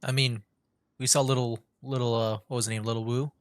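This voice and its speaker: A man's voice: medium pitch, flat delivery, a little fast. A man streaming a video game with casual, off-the-cuff live commentary. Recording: game music playing behind.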